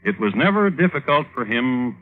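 A man narrating a classic radio show with dry, wry understatement in a husky voice. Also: medium pitch, big pitch swings, normal speed. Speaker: narrator, host. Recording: narrow-band old radio recording.

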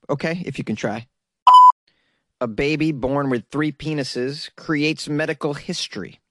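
A man's voice: mid-range voice, no echo. Sarcastic man riffing on news, dry close mic. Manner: sarcastic, rambling, jokey asides to viewers. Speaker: solo news commentary host. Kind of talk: casual commentary reading news articles.

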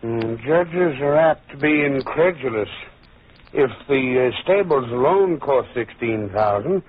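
An actor in an old-time radio drama playing a shrewd, cautious older judge with a dry, probing manner and husky voice, on a tinny recording. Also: male voice, mid-range voice, animated, measured pace.

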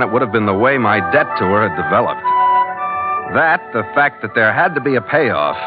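A man plays a rugged skipper in a vintage radio adventure, wry and hard-boiled. His delivery is very animated, moving widely in pitch. The sound is quite muffled, with prominent music. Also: lightly acted. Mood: wry narration. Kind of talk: 1940s radio adventure acting and narration.

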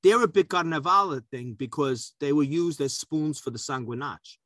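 A fairly high-voiced man co-hosting a relaxed food podcast, chatty and full of jokes and asides. His voice sounds close and dry, with almost no room around it. He tells stories in a loose, rambling way. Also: moderate pace, dry recording. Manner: talkative, joking storyteller. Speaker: podcast co-host. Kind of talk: casual food chat.

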